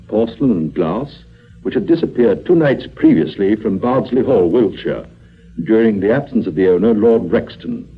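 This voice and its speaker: A man's voice: on the low side, breathy edge, heavily acted. An actor playing a formal, impassive British male newsreader, heard through a bedside radio in a 1950s drama. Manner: formal, measured wording. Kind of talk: scripted news bulletin. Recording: thin phone-like old radio sound.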